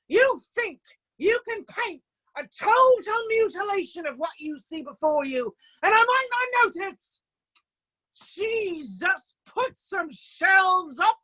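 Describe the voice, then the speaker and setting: A woman voicing a hot-tempered, sarcastic male art teacher in a stage monologue, ranting and cursing with loud, scornful exasperation. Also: very high voice, no echo, pitched above the performer's own voice, full character voice, poor audio. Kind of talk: acted quote within a stage monologue. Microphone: video call.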